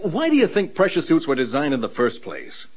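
A man's voice: a little high, wildly swooping pitch, brisk. A man playing a stubborn, insistent air control officer in an old-time radio drama. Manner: emphatic, insistent. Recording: dull old radio recording.

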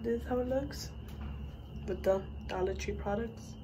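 A young woman with a raspy voice, just up from sleep, chatting casually through a hair product review in a small bathroom. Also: a little high, measured pace, background noise. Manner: chatty, rambling with fillers. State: just woke up. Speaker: beauty vlogger. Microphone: phone camera at arm's length.

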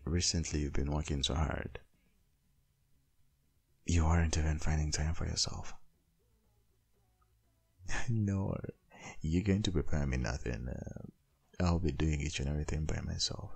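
An extremely deep-voiced boyfriend, acted out by a man, murmurs in a gravelly, creaky tone over music. He is doting and gently dominant, speaking slowly and coaxingly, as in an intimate ASMR roleplay. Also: heavily acted. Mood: protective, coaxing. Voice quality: husky, gravelly, breathy.

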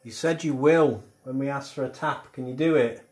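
A man, calling out questions to an empty, dark pub and repeating words back. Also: mid-range voice, moderate pace, faint music behind. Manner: calls out questions to the room, echoing words. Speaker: paranormal investigator. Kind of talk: casual questioning.

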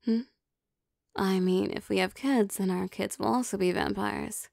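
A woman acts out a teasing, possessive vampire girlfriend in an intimate ASMR roleplay. She takes her time, coaxing softly, with a gravelly fry in her voice. The sound is close and very dry. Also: mid-range voice, steady tone, a little slow, no room sound. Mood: relaxed, affectionate. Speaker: voice actor.

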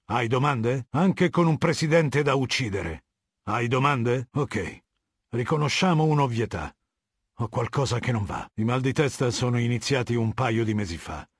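Video game warlord, middle-aged. Husky, imperious, measured authority; dry studio sound. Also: male voice, mid-range voice, animated, no room sound. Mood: measured, stern. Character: cold, commanding middle-aged male warlord of a legion. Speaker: voice actor.

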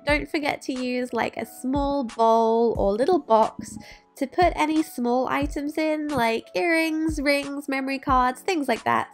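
A young woman, giving upbeat, instructive narration while cleaning her bedroom. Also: fairly high pitch. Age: young adult. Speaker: lifestyle vlogger. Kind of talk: cleaning tutorial voice-over and to-camera vlog. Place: bright bedroom, indoors.

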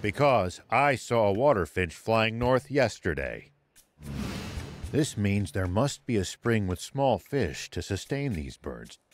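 An actor playing a stern, principled old sheriff in a video game cutscene, speaking formally and with resolve. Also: male voice, lowish voice, sing-song, slow pace, faint music behind. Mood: earnest, explaining.

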